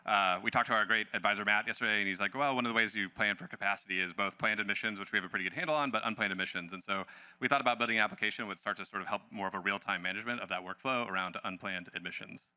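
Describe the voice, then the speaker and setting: A man's voice: lowish voice, very fast, sharp tone, clean tone. A man giving an informal spoken introduction to a team project in a presentation.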